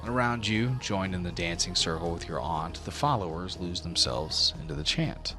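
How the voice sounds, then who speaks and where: Low voiced guy running a fantasy tabletop game, dramatic storytelling, music clearly playing behind him. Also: animated, normal speed. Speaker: game master.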